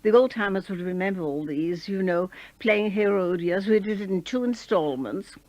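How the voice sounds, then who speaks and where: Older woman, chatty reminiscing, interview, mid pitch, dry close sound. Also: normal speed, no echo. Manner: chatty, warm reminiscing with fillers. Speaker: former radio host interviewed.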